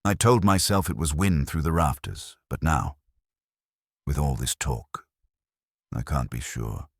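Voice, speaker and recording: An anxious vicar's wife, read by a male narrator confides in a very low voice. She sounds hesitant and uneasy, in a dry mystery-story recording. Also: measured pace, no room sound, heavily acted.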